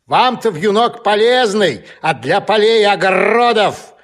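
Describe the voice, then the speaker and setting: A raspy cawing old-school storybook rook, high swoopy voice, scolds everyone. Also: male voice, lively intonation. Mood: grumbling, warning. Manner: scolding, emphatic, drawn-out. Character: grumbling know-it-all male rook bird. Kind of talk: children's audio play.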